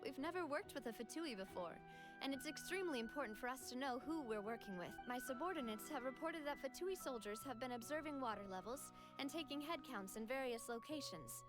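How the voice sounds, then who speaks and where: A woman's voice: high-pitched, animated, fairly quick. A woman playing a sincere, polite young noblewoman who leads others, in a video game with music behind her. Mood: grateful, composed. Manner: polite, earnest. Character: young noblewoman leader, sincere and grieving, resolute. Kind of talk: English game voice acting.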